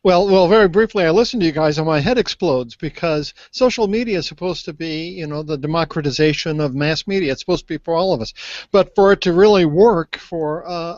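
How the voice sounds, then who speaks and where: A man's voice: high voice, dry recording. An older man giving his opinion in a casual panel chat, speaking into a handheld microphone. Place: small room indoors on a video call. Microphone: handheld microphone held close. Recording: dull lo-fi video call audio.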